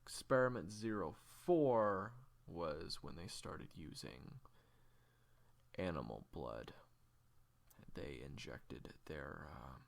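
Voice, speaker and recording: A weary, secretive assassin played by a man in an audio roleplay, speaking very low and slowly. The voice is gravelly and often sinks toward a whisper, with halting, deliberate phrasing that rises and falls widely as the grim story unfolds. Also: lively intonation, heavily acted. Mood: grim, subdued. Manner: halting, deliberate, with heavy pauses. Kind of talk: hushed confessional storytelling in audio drama.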